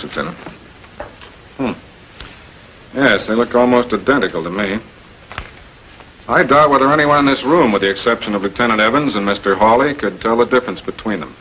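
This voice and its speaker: An American actor playing a shrewd public prosecutor in 1940s television crime drama style, questioning in a deliberate, authoritative way. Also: male voice, lowish voice, a bit bright, natural voice. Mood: measured, in control. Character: shrewd, composed male public prosecutor confronting murder suspects. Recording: noisy 1940s film soundtrack.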